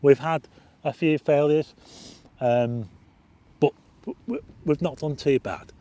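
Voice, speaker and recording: Croaky Northern English man, stargazing host. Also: fairly high pitch, slow, dark tone, no echo. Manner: chatty, reads out viewer names. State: slightly croaky. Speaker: astronomy livestream host. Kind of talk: live stargazing chat with a quiz. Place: outdoors at night.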